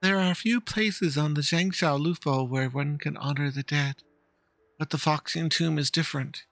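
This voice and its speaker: A man plays a war-veteran fox woman from a game, wistful and dignified. The delivery is earnest and measured, with a creaky, bright tone. The recording is dry, with light music underneath. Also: on the high side, dry recording. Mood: solemn explaining. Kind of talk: dramatic reading of game dialogue.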